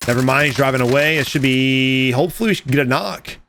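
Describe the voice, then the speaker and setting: A man casually commenting over game footage, animated and profane, talking straight to his viewers, his voice going a little hoarse. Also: mid-range voice, fast, a little hiss or hum. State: losing his voice. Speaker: gaming commentator.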